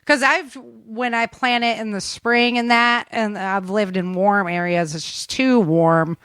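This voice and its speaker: A woman's voice: mid-range voice, fairly even intonation, unhurried, a bit bright, clear, resonant tone, dry recording, studio quality. An American woman hosting a podcast in a casual, chatty, informal and enthusiastic way. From United States. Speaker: podcast host. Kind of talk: casual interview chat.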